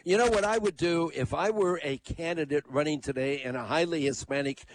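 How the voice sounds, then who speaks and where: A man's voice: on the high side, a little fast, a little hiss or hum, rough recording. A man hosting a call-in radio talk show, speaking in a conversational, emphatic way. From United States.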